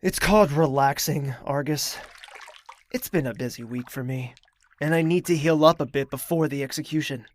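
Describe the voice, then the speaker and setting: An actor playing a weary, suspicious male royal in an audio drama, speaking and narrating in a measured, brooding way. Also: a little high, no echo, lightly acted. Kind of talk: audio drama acting and first-person narration.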